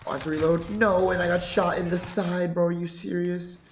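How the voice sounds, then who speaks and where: A man's voice: sits high, fairly quick, reverberant, slight background noise. A young man with a congested voice, casually talking through his game settings and commentating gameplay on a headset mic. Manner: laid-back, excitable bursts during play. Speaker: competitive gaming YouTuber. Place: home gaming setup. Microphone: headset with boom mic.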